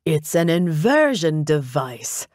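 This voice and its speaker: Audiobook role: woman voices flamboyant, teasing man, slow lilting drawl, theatrical, close dry recording. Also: a little high, no echo, lightly acted. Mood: amused, teasing.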